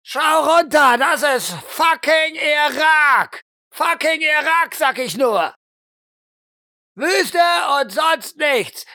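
A man playing a brash, foul-mouthed army door gunner in an audio drama, shouting with crude swagger. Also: very high voice, no echo, studio quality, voice pushed up, heavily acted. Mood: wild bellowing.

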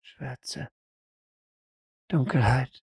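A woman's voice: rumbling low, extremely slow, a little mellow, breathy edge, dry recording, clean studio sound. A woman narrating an audio roleplay as game master, in an atmospheric, second-person horror style.

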